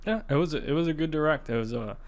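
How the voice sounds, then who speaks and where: A man's voice: a little high, very fast. A man hosting a podcast, casually discussing gaming news in a conversational way, often restarting his phrases. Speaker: podcast host.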